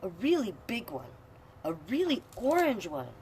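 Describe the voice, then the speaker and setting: An encouraging storybook crayon grown-up coaxes a child along, acted out by a woman reader. The delivery is exaggerated and singsong, pitched well up, with clear noise behind it. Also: huge pitch swings, measured pace, darkish tone. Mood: coaxing.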